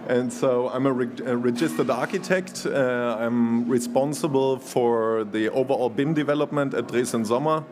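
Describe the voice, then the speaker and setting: A man from Germany giving a conference presentation, halting and full of restarts, laughing easily. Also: mid-range voice, noisy background. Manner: hesitant, many fillers and restarts, laughs easily. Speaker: conference speaker.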